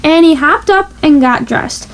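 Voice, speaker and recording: High-voiced woman reading a children's storybook. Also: animated, unhurried. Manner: playful, stressing sound-effect words. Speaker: storybook reader. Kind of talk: animated children's storybook read-aloud.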